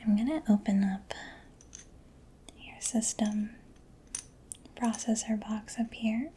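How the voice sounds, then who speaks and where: A soft-spoken woman in a breathy whisper, gently and reassuringly playing a caring mechanic in an ASMR roleplay. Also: mid-range voice, steady tone, slow, slight background noise. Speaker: ASMR performer.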